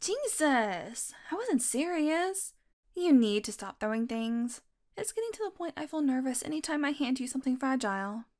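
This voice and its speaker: Actress as young female vampire, slightly high pitch, playful, wry, curious, casual intimate audio roleplay. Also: very animated, moderate pace, a little mellow. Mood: exasperated, defensive.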